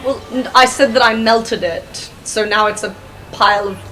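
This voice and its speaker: A woman's voice: a little high, moderate pace, pushed and bright, poor audio. A woman taking part in an improvised tabletop role-playing game, talking casually. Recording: music behind the voice. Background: background music.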